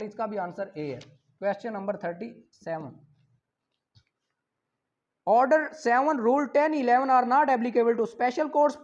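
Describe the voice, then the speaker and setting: A man with a Pakistani accent teaching an online law class, reading English questions slowly, explaining them in Urdu and calling on students by name. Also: very high-pitched, a little mellow, lo-fi sound. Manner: calls on students by name, brisk and encouraging.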